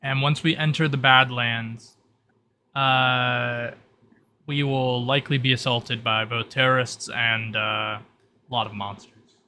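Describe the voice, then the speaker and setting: A man's voice: medium pitch, steady tone, measured pace, bright, forceful tone, a little hiss or hum. A man narrating as game master, explaining the rules and briefing players in piecemeal, hesitant phrases.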